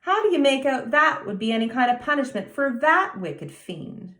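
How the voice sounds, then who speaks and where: A woman's voice: sits high, very animated, a little slow, echoey room, voice pushed up, full character voice. A woman voicing a blunt, indignant older housekeeper in a storybook reading.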